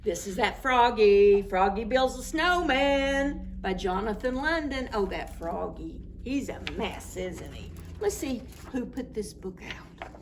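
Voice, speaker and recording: A woman reads a picture book aloud to preschoolers in a playful, expressive style. Her voice sits fairly high and swings widely in pitch, and she takes her time over the words. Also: slow pace, background noise. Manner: playful, acts out sound words. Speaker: children's storytime reader.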